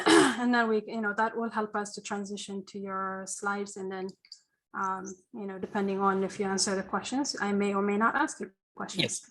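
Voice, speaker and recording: A woman's voice: mid-range voice, fairly even intonation, normal speed, fairly bright tone, rough recording. A woman hosting a webinar over a video call, welcoming her guest in a friendly way with plenty of fillers. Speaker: host. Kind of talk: webinar moderation.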